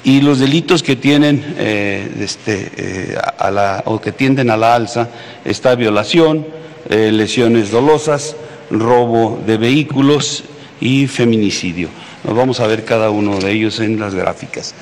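A middle-aged man with a Mexican accent gives a formal briefing as an official, haltingly and with frequent hesitations. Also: fairly low pitch. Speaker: military official giving a security briefing. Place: large indoor hall at a press conference. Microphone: podium microphone. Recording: echoey hall with background noise.

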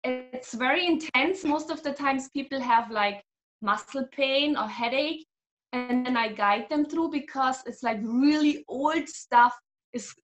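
A high-pitched woman in her thirties, originally from Germany, speaking on a video call from a small home room. She talks animatedly as a guest explaining her healing work, often breaking into laughs. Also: unhurried, fairly bright tone. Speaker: guest, energy healer. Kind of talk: casual video-call interview.

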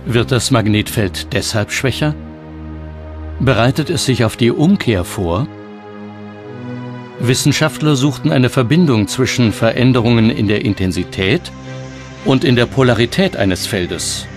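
Male narrator for a scripted documentary, mid-range voice, clear music bed underneath. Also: medium pitch, expressive, measured pace. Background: background music.

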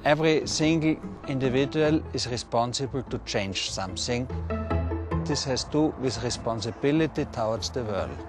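Middle-aged English speaker, earnest documentary interviewee, music underneath. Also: male voice, medium pitch, normal speed. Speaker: brewery representative interviewee.

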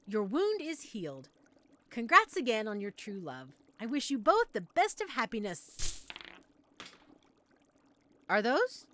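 Woman doing a chatty trainee witch for audio roleplay, rambly n flustered, bright voice that swoops around. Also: a little high, heavily acted. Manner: theatrical, rambling, expressive. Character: chatty, flustered young witch-in-training running a magic shop. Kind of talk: scripted audio roleplay, addressed to the listener.

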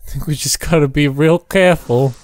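A man chatting casually and excitably while playing a co-op game, joking and exclaiming as he goes. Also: on the high side, a little fast, no echo. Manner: jokey, exclaiming. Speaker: gamer playing a co-op roleplay map. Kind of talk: excitable casual gameplay chat.

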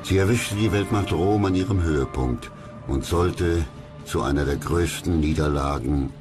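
A man with an extremely deep voice narrates a historical documentary. He is solemn, measured and dramatic, with music clearly playing behind him.

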